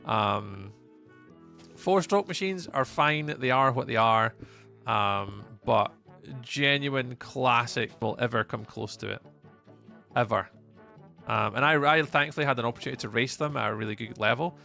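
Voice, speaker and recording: A man's voice: mid-range voice, unhurried, gravelly, sharp tone, soft background music. A Scottish man streaming live, chatting casually with his viewers in a rambling way, full of fillers and restarts. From Scotland. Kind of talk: casual chat with live chat while gaming.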